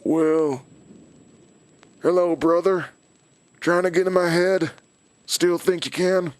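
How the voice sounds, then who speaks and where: Smug, higher-voiced male villain. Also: steady tone, slow pace, no room sound. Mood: taunting. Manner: taunting, calculating, controlled. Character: menacing, smug male villain, an old enemy. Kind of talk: audio drama dialogue.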